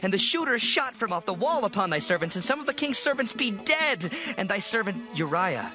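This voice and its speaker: A man's voice: sits high, sing-song, a little fast, music in the background. An actor playing an urgent battlefield messenger reporting to his king in dramatized scripture, with dramatic delivery. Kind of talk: dramatized scripture audio acting.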